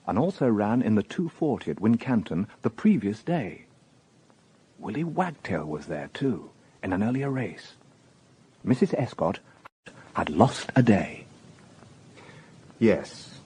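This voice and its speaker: An inquisitive radio detective, lowish voice that moves around a lot, measured. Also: male voice, warm tone, husky, heavily acted. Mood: probing, polite. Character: inquisitive, measured male police detective narrating his case. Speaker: police detective and narrator. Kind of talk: radio crime drama acting and narration.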